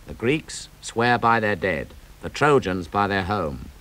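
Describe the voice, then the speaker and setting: A man reads epic poetry aloud for an audiobook with a theatrical delivery. His pitch rises and falls widely, dropping to a hush and then ringing out. The tone is bright and forceful. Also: sing-song, unhurried. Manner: theatrical, swings from hushed to ringing. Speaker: audiobook reader. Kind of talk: dramatic reading of epic poetry.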